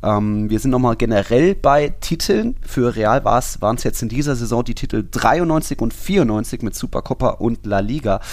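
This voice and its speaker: A man's voice: medium pitch, expressive, moderate pace, few pauses, darkish tone, dry recording. A man hosting a football podcast, explaining things in an informal, conversational way. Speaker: podcast host. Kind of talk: casual football podcast talk.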